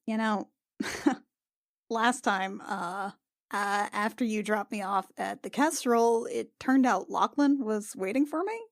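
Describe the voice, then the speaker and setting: A woman's voice: mid-range voice, no room sound. Woman playing a frank, teasing adventurer, dry close sound. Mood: awkward, amused. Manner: casual, halting, swears freely. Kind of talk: improvised in-character tabletop roleplay dialogue.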